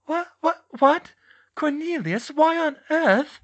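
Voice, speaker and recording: A man's voice: very high-pitched, lively intonation, a little fast, fairly bright tone, lo-fi sound, voice pushed up. A man voicing a prim, scheming middle-aged woman official with falsely sweet, deferential politeness, as in an audiobook. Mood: shocked, flustered. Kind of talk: audiobook character voice.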